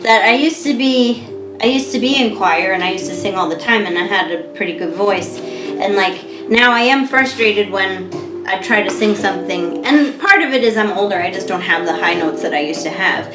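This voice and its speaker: An American woman in her thirties co-hosting a podcast, chatting casually with clear, careful diction and frequent laughter. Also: mid-range voice, normal speed. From United States. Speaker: podcast co-host. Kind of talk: casual chat. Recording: echoey room with music behind.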